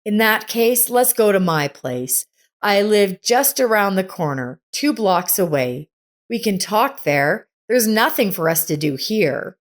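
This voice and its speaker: A woman's voice: mid-range voice, big pitch swings, a little slow. A woman narrator voicing an older woman in a story, motherly but bossy, plain-spoken and insistent. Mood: kindly, inviting.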